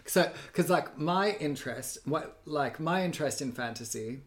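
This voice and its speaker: A man's voice: fairly high pitch, lively intonation, normal speed. A man, chatting casually in a rambling, self-deprecating and hyperbolic way. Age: 30s. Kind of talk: casual chat.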